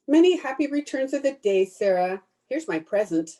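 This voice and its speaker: A woman's voice: high-pitched, big pitch swings, normal speed, dark tone. A woman playing a blunt, disapproving cousin in old-time radio drama, prim and cutting. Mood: prim, formal. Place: at home, recorded over video call.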